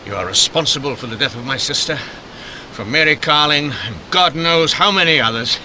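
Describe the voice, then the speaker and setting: An actor playing a stern, driven Victorian inspector in a radio mystery, with emphatic, intense delivery. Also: male voice, on the high side, animated, fairly quick, noisy background, close to an everyday voice. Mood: commanding. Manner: emphatic, intense, clipped declarations. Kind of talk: old-time radio mystery acting.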